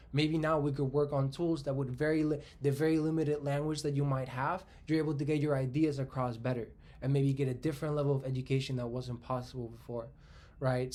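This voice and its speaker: A man in his twenties, originally from Spain, talks alone in an easy conversational monologue, working out his argument as he speaks. He doubles back on his words and keeps checking in with "right?", and his voice sits at an ordinary middle pitch for a man. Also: darkish tone. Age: 20s. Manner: thinking aloud, frequent restarts and "right?" tags. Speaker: tech commentator. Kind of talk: casual solo monologue.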